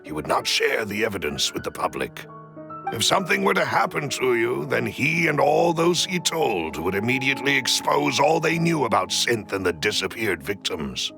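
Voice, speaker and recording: An actor playing a grave, loyal butler in a video game, speaking formally and deferentially with a gravelly edge. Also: male voice, mid-range voice, big pitch swings, over music. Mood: grave, explaining. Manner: formal, deferential, measured explaining. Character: loyal, grave male butler and shrewd confidant. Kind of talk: dramatic video game cutscene acting.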